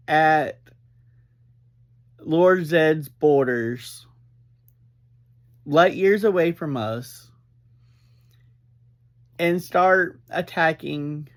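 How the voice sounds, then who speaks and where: A man giving an informal, unscripted recap as a reviewer, speaking in halting, deliberate phrases with frequent breaks. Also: on the high side. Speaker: reviewer and host of an episode review series.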